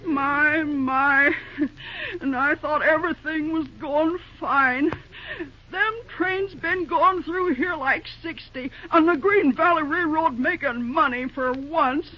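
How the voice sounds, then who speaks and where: High-voiced folksy rural American older woman. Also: slow pace. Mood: dismayed. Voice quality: folksy dialect speech. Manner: exclamatory, indignant, motherly. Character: folksy, excitable older small-town woman running a lunch counter. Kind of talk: old-time radio drama acting. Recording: dull, noisy vintage radio recording.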